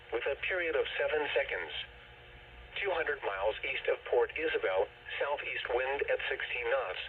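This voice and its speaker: Tinny radio man reading forecasts through static. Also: mid-range voice. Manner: formal, impersonal, clipped phrasing. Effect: radio broadcast. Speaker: weather radio announcer. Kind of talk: scripted weather forecast and marine bulletin readout.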